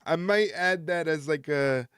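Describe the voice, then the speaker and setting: A man's voice: a little high, measured pace, a bit bright, clear, resonant tone, no room sound, studio quality. A man hosting a wrestling live stream, rambling and joking with his chat and often chuckling. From United States.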